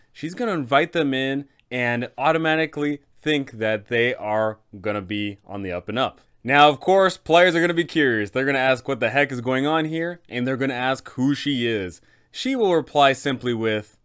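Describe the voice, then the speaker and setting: Clear-voiced man giving game advice. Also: medium pitch, fairly bright tone. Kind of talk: explanatory narration of tabletop game guide.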